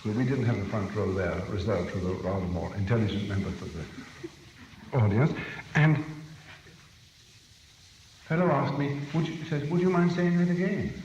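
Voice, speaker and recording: A man's voice: fairly low pitch, lively intonation, moderate pace, pauses often. A man telling an anecdote as a lecturer in a talk, on a muffled, noisy recording made in an echoey hall.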